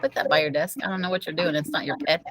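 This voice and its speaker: A woman's voice: low-pitched, steady tone, rapid-fire, noisy background, voice pushed down, lightly acted. A woman reading the part of a stern, curt female school principal in a children's audiobook. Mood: stern, questioning.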